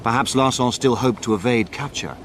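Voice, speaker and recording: A man playing a detective's loyal friend, narrating a period radio drama in a measured, grave storytelling manner. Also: lightly acted. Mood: grave, dramatic. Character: detective's loyal male friend, recounting the adventure. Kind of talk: scripted first-person drama narration. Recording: clear background noise, rumbling ambience.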